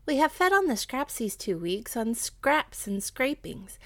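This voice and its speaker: A woman's voice: on the high side, very animated, unhurried, fairly bright tone, no room sound, voice pushed up. A woman voicing a poor, fretful old widow in a storybook reading. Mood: weary complaint. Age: older. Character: poor old Chinese widow, plaintive, fretful, devoted mother.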